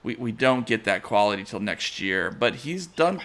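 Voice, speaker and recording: Bright-voiced man, sports podcast co-host. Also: on the low side, animated, a little hiss or hum. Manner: measured, analytical, reads listener questions. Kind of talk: casual sports chat.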